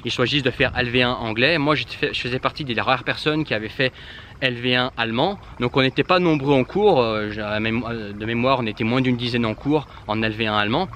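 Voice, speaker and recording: A man's voice: mid-range voice, fairly quick, fairly bright tone, slight background noise. A man whose first language is Germanic, giving casual language-learning advice straight to the viewer, with lots of fillers and restarts. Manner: informal, addresses the viewer directly, many fillers and restarts. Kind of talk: casual direct-to-camera advice.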